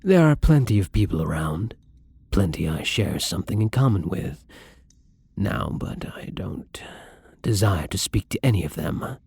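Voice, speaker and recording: A man playing a gentle, lonely, tormented vampire in an intimate ASMR roleplay, speaking softly and hesitantly in a breathy, brooding way, often near a whisper. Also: sits low, very animated, dry recording, clean studio sound, full character voice. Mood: lonely, weary. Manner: slow, hesitant, brooding, tender. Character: melancholy young male vampire, gentle, lonely, tormented, once human.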